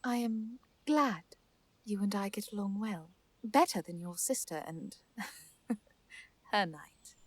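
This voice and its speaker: A woman's voice: mid-range voice, slow, long pauses, dry recording. A woman playing a genial druid lady's companion in a fantasy audio drama, formally worded yet playful. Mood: amused. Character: genial, teasing female druid companion to a lady.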